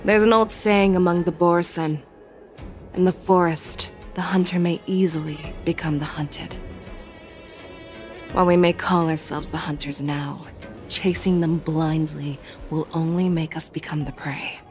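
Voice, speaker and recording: A woman voicing a composed, shrewd general in a video game, speaking in a commanding, measured, instructive way. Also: deep voice, fairly even intonation, darkish tone, faint music behind. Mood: grave, cautioning. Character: composed, shrewd female general, a seasoned hunter. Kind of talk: dramatic video game voice acting.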